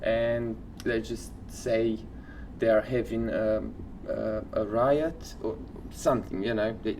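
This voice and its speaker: A man co-hosting a podcast, casually sharing odd facts in halting, informal phrases. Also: lowish voice, fairly even intonation, darkish tone, slight background noise, low-quality recording. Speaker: podcast co-host.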